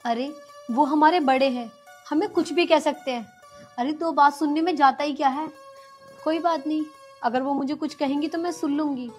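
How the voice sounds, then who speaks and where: A woman plays a patient young daughter-in-law in a family drama skit, pleading softly to keep the peace. Her voice sits high, with faint music behind. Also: normal speed, close to an everyday voice. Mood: gentle. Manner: pleading, soft-spoken.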